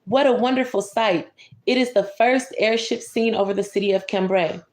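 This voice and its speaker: A woman's voice: medium pitch, normal speed, a little mellow, reverberant. A woman reading a picture book aloud to a child, breaking off for casual, conversational asides and explanations. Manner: conversational, explains pictures and comments to a young listener. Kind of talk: bedtime story read-aloud with casual asides.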